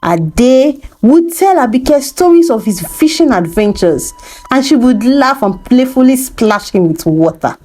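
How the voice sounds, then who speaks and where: A woman's voice: fairly high pitch, expressive, a little slow, dark tone. A woman narrating a folktale as a storyteller, reading from a script.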